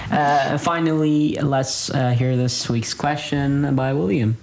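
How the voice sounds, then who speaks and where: A man's voice: medium pitch, steady tone, slow, gravelly, a little mellow, slight background noise. A man hosting a casual podcast conversation and moving it along between segments.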